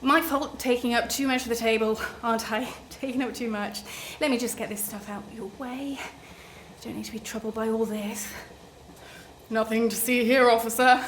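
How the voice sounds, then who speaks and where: An actress playing a nervous, tired, self-deprecating woman on a train in a radio play, halting and apologetic, often repeating herself. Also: fairly high pitch, steady tone, slight background noise, natural voice. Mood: apologetic, self-mocking. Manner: halting, apologetic, rambling and repetitive.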